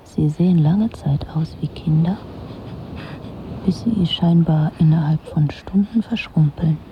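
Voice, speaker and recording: A woman's voice: sits low, a little slow, lo-fi sound, slight background noise. An actress playing a weary, detached, lonely woman who narrates her own story in a dry, introspective way for a radio drama. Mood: detached, observant. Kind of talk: literary first-person radio drama narration.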